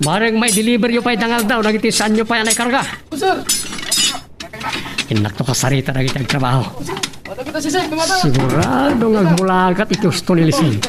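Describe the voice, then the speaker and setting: A man's voice: high voice, heavy background noise. A man playing a boastful husband with big plans in an Ilocano audio drama.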